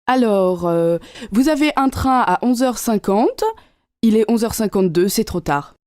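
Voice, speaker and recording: A woman acting out scripted dialogues for learners, speaking in a clear, friendly way. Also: mid-range voice, expressive, unhurried, darkish tone, no echo, studio quality.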